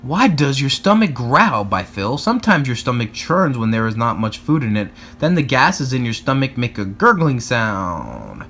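A man reading aloud to children in an expressive, playful storybook style. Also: medium pitch, moderate pace, few pauses, slight background noise. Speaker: storybook reader. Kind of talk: animated read-aloud narration for children.

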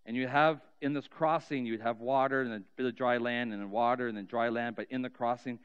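Earnest pastor on a dull headset mic. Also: male voice, mid-range voice, steady tone, clear, resonant tone. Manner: earnest, with slow drawn-out emphasis and trailing soft endings. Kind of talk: sermon storytelling and spoken prayer. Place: large church sanctuary, indoors. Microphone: cheek headset mic.

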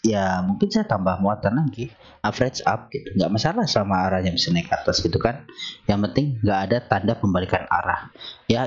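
Hoarse man talking through stock charts. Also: fairly low pitch, muffled recording. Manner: relaxed, conversational, many fillers. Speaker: stock market analyst. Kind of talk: screen-share chart walkthrough.

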